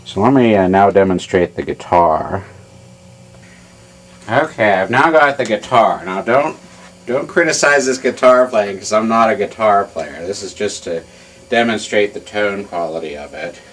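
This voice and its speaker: A man giving an informal hands-on technical demonstration in a halting, matter-of-fact way, as a repair hobbyist. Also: fairly low pitch, animated, a bit bright. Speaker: amplifier repair hobbyist demonstrating his work.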